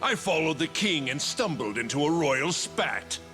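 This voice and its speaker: A man playing a sly, smug royal schemer in a fantasy game, with a mocking, theatrical, drawn-out delivery. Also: a little high, sing-song, music in the background. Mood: smug mockery. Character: sly, smug scheming male retainer of a king. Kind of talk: dramatic fantasy game voice acting.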